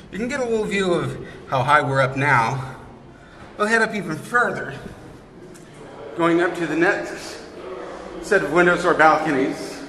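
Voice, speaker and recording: A man with a slightly high voice gives casual, chuckling travel narration while climbing. The sound is very echoey, with long reverb off a narrow stairwell and clear background noise from his handheld camera. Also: bright, forceful tone. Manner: chatty, good-humored, chuckling; exerting himself climbing stairs while talking. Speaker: amateur vlogger filming a sightseeing climb. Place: inside a narrow brick lighthouse stairwell. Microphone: handheld camera held by the speaker.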